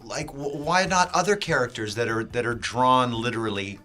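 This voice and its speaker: A middle-aged man speaking as a guest in a played clip, hesitant and halting, often restarting his sentences. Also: mid-range voice, bright, forceful tone.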